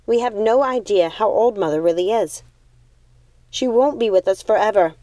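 Earnest young witch female audiobook reader, pleading, dutiful, soft mellow tone, dry recording. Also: medium pitch, normal speed, dry recording, natural voice. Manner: pleading, insistent. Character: earnest, devoted young witch torn by duty.

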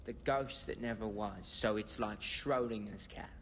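A man's voice: on the low side, steady tone, normal speed, fairly bright tone. Video game stoner storyteller, British male: mock-grand, hesitant rambling, reverberant sound with faint noise. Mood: hazy storytelling. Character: hazy stoner man, pompous meandering comic storyteller. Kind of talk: video game cutscene acting.

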